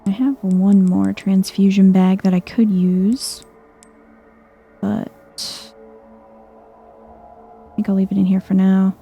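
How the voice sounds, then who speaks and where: Soft-spoken woman, low-key gaming ASMR. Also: monotone, slow pace, creaky, pauses often, slightly rough, soft background music. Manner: soft-spoken, chill, no yelling. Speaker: gaming video host. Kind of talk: soft-spoken ASMR game playthrough commentary.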